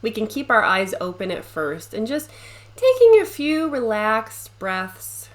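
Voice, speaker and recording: A woman leading a guided meditation, speaking gently and soothingly with long pauses between short phrases. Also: on the high side, big pitch swings.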